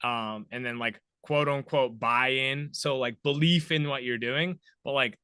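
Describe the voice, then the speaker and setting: Bright-voiced man, casual podcast host. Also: medium pitch, a little slow, clean tone, no room sound, studio quality. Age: 30s. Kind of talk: casual conversational interviewing.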